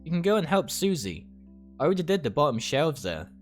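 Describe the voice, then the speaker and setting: Man voicing a gentle, hesitant shop girl. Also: fairly high pitch, very animated, brisk. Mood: hesitant, kind. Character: kind, quiet young female store worker. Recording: music behind the voice.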